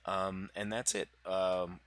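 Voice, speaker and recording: A man's voice: low-pitched, level tone, takes it slowly, fairly bright tone. A man with a cold, sounding congested, casually explaining gadget specs over slides with many fillers and restarts. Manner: loose, filler-heavy, self-correcting. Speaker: tech channel host.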